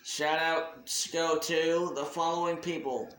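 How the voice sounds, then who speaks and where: A man with a slightly high voice makes a casual, off-the-cuff announcement like a hobby video creator. He speaks slowly, in a halting and deliberate way. The recording has a noticeable room echo. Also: steady tone, a bit bright, slight background noise. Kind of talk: casual unscripted shout-out announcement.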